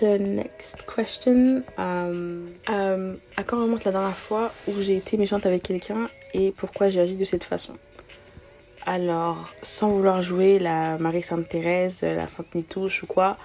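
A woman's voice: on the low side, creaky, mellow. A woman in her early twenties, not a native French speaker, hosting a casual solo podcast in an informal, slangy way with some English words mixed in. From born outside France, moved to France as a young child. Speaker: podcast host. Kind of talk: casual solo podcast, answering self-introduction questions.

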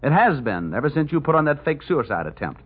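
A man's voice: fairly high pitch, big pitch swings, brisk, husky. An actor playing a wisecracking male insurance investigator who narrates, in 1940s radio drama style, dry and hard-boiled. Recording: muffled old radio broadcast with music.

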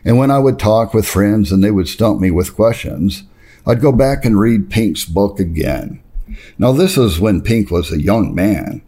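A man reading a religious history memoir aloud, breaking off for spoken asides, in an earnest, emphatic storytelling way with dramatic pauses. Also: sits low, dark tone, husky. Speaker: reader and narrator of religious history.

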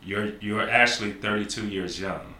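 A forties man with a very low but bright, forceful voice hosts a podcast in a chatty, affirming way. His voice rings in a roomy space with faint background noise. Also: moderate pace. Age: 40s. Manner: repetitive, affirming, talkative. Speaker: podcast host. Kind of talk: casual interview.

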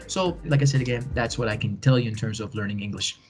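A man's voice: mid-range voice, quick pace, a little mellow, soft background music. A man in his forties, a bilingual educator answering interview questions in an earnest, encouraging way and often repeating phrases for emphasis. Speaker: podcast guest, bilingual educator.

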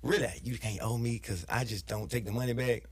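A man's voice: mid-range voice, steady tone, a little fast, a little mellow, slightly rough, no echo. An American man chatting casually on a podcast in slangy speech, often checking that he is understood.

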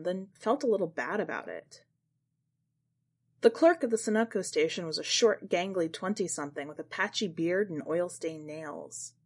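A woman narrating a first-person literary short story for a fiction podcast, in a scripted read-aloud style. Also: fairly low pitch. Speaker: podcast fiction reader and editor.

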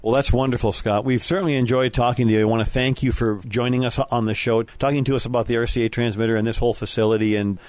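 A man's voice: mid-range voice, steady tone, fast talker, few pauses, darkish tone, no echo. A middle-aged or older man hosting a conversational interview in a polite, appreciative manner. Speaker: radio show host and interviewer.

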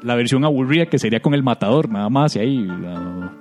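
A man's voice: medium pitch, fairly quick, soft background music. A Costa Rican man hosting a comedy podcast, telling stories casually with slang, swearing and playful exaggeration. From Costa Rica. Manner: animated, exaggerating, acts out reactions. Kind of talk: casual comic storytelling and banter.

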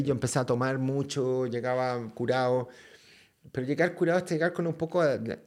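Chatty Chilean man telling stories. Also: medium pitch. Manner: lively, slangy and swears freely. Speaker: podcast guest. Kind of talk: casual storytelling.

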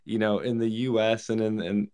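Lower-voiced man joking on a panel. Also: steady tone, moderate pace, darkish tone, smooth. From Virginia, US. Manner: self-deprecating, casual.

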